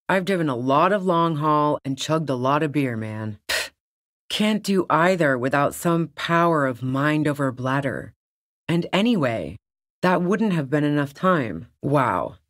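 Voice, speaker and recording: A woman's voice: low-pitched, no room sound. A woman playing a tough, sardonic female lorry-driving smuggler in a video game, with a dry, sarcastic and casually profane way of talking. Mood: guarded, dismissive, then sardonic, needling. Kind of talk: video game character dialogue.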